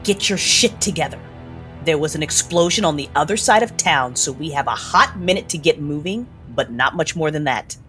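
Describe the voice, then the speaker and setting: An actress playing a tough, bossy vampire fixer in an audio drama, speaking in a blunt, commanding way. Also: female voice, low voice, expressive, normal speed, a bit bright, soft background music. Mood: urgent, commanding. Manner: blunt, commanding, hard-edged.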